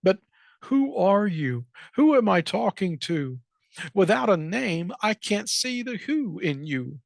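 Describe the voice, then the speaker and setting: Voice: medium pitch, very animated, takes it slowly, gravelly, no echo, pitched above the performer's own voice, heavily acted. Reader doing a whiny dim turkey guy from a kids book, bossy, complains and exclaims, dry sound. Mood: exasperated, know-it-all. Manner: exclaiming, complaining, theatrical sighing. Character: Bob, fretful, dim, self-important male turkey.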